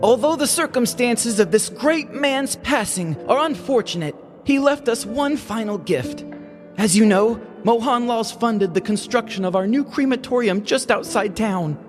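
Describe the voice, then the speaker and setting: A man acts out a melodramatic, flippant villager in a comedic audio story. The voice sits very high for a man and moves around expressively. Faint music runs underneath. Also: animated, measured pace. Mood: solemn.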